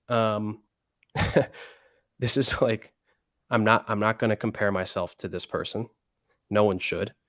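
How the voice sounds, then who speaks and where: Low-voiced man rambling in a dry room. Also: fairly bright tone, dry recording. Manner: rambling, many fillers and restarts. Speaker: interview guest. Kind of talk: casual conversational interview.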